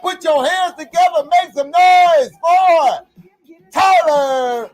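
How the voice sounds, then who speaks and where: A man hosting an online alumni show, chatting casually and shouting with excitement as he brings on guests, speaking over a video call. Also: very high voice. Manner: excitable, shouts and whoops when introducing guests. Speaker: show host. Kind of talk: hype introduction and casual interview chat.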